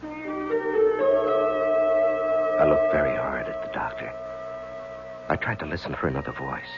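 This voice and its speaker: Very deep-voiced haunted husband. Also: male voice, sing-song, brisk, creaky, heavily acted. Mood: uneasy. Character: haunted, uneasy husband narrating his own story. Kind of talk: old-time radio drama acting, intimate first-person narration. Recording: muffled radio drama recording with music.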